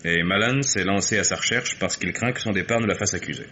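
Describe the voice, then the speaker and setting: Low-voiced, unruffled private detective. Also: male voice, pushed and bright, a little hiss or hum. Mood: earnest, conceding. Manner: measured, polite, unruffled. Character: composed, evasive, courteous male private investigator. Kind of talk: French radio mystery play acting.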